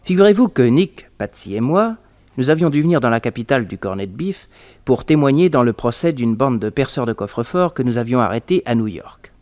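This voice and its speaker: An actor playing a detective's companion who narrates a past case conversationally, in 1960s French radio storytelling style. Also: male voice, mid-range voice, lively intonation, fairly quick, darkish tone, no room sound, natural voice.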